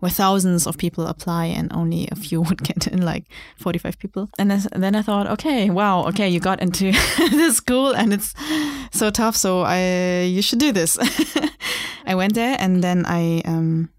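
Young german-speaking woman chatting, laughs a lot, slightly low voice, dry close mic. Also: moderate pace, dry recording. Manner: reflective, laughs often. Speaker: guest, journalist and writer. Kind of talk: casual conversational interview.

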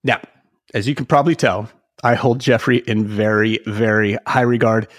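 A man's voice: on the low side, steady tone, normal speed, no room sound, studio quality. A man hosting a travel podcast, introducing his guest with enthusiasm and asking questions. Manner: enthusiastic, admiring, some restarts. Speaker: podcast host.

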